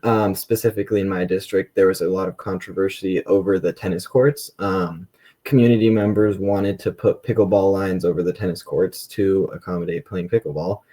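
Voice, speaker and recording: A man's voice: deep voice, steady tone, brisk, dark tone. A young man giving conversational answers as a student guest on an interview panel. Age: young adult. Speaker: student school board member, panel guest.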